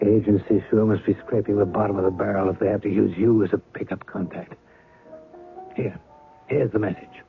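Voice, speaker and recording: Through a tinny vintage radio sound comes a bitter middle-aged spy with a lowish voice. He is curt, sardonic and biting, in the style of a 1970s radio thriller, with faint music behind him. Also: little pitch movement, brisk, vocal fry. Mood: bitter, impatient. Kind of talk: 1970s radio drama acting.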